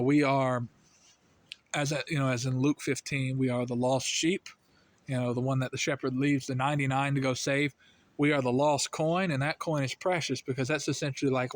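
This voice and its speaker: An American man giving casual religious commentary, earnest and rambling, full of fillers, his voice lifting when he makes a point. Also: medium pitch. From United States. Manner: earnest, rambling, heavy with "you know" and "I mean" fillers.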